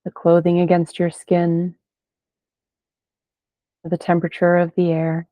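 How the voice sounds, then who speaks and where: A woman leading a guided meditation in gentle, unhurried phrases with long pauses between them. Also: fairly low pitch, completely flat delivery, no echo. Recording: band-limited, phone-like sound, dry.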